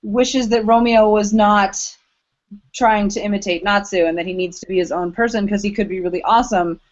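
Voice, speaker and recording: A woman with a middling pitch chats as a podcast co-host. Her manner is playful and teasing, the style loose and casual. The recording sounds a bit dull and lo-fi. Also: steady tone, a little fast. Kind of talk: casual banter about anime. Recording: dull lo-fi audio.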